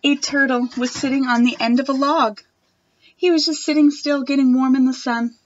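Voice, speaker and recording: Young woman, children's storytime reader, higher pitch, gentle expressive delivery, slightly lo-fi home recording. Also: normal speed, a little hiss or hum. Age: young adult. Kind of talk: children's picture book read-aloud. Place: wood-panelled room at home.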